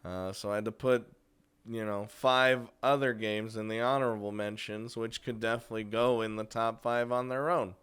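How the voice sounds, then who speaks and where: A man's voice: on the low side, fairly even intonation, moderate pace, fairly bright tone, clear, resonant tone. A man giving a casual countdown review of games as host, talking informally and chuckling often between phrases. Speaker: host of a video game review series. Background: music.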